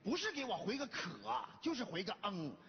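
A middle-aged father, exasperated and quick with a blunt wisecrack. The voice runs high and very animated, with a northern Chinese Mandarin accent and theatrical comic scolding. It rings out in a big reverberant hall. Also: vocal fry, exaggerated voice. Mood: indignant scolding. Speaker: comedy sketch actor. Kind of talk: theatrical comic sketch acting. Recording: echoey hall, dull sound, faint noise.

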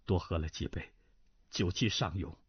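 A man voicing a sorrowful middle-aged nobleman full of regret, speaking low and soft as he reads a martial arts novel aloud. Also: moderate pace, breathy edge. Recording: dry, slightly dull lo-fi recording.

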